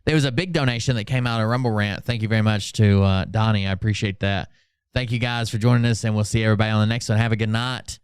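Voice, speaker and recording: A man hosting a livestream with casual, sarcastic commentary, blunt and quick to swear. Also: lowish voice, fairly even intonation, clear, resonant tone, no echo, clean studio sound. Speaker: livestream host.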